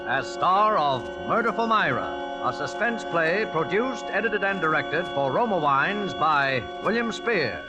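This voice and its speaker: A man's voice: on the high side, very animated, a little slow, few pauses, over loud music. A man announcing a show in formal, dramatic, scripted 1940s radio style.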